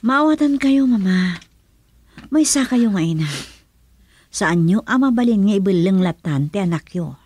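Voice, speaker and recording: A woman's voice: mid-range voice, expressive, measured pace, pauses often, a little mellow, lightly acted. A woman with a Filipino accent playing a hurt, indignant young woman in a radio drama.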